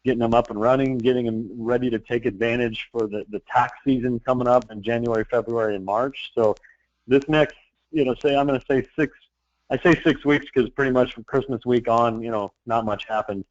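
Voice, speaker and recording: A man's voice: mid-range voice, steady tone, fairly quick, no room sound, muffled recording. A man leading a sales team meeting, talking loosely and encouragingly with many fillers and restarts. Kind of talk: casual business meeting talk.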